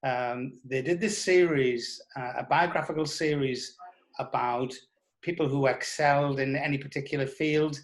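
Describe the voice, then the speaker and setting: Hesitant, measured man. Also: mid-range voice. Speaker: writer interviewed about audio drama scripts. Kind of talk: reflective interview reminiscence.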